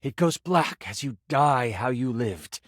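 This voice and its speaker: An actor playing an excitable, encouraging male game master who narrates tabletop fantasy with theatrical, geeky eagerness. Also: mid-range voice, measured pace, no echo, studio quality. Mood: dramatic narrating. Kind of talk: dramatic tabletop fantasy narration with playful asides.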